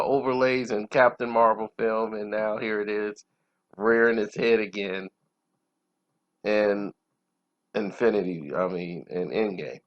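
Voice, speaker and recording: A man's voice: medium pitch, a bit bright. A man hosting a casual movie review, talking informally and conversationally about his opinions. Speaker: movie reviewer, channel host. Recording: dull lo-fi sound.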